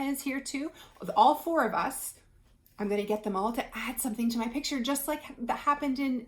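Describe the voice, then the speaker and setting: Woman, medium pitch, conversational teacher talking and reading to children. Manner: conversational, asks the listener questions while reading. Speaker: teacher reading a picture book aloud to children. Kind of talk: read-aloud and explaining to children.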